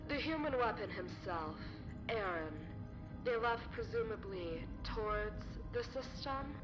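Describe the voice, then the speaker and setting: A woman's voice: medium pitch, expressive, a little slow, highs cut off, over music, natural voice. A woman voicing a stern, ruthless commander who gives clipped orders. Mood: cold.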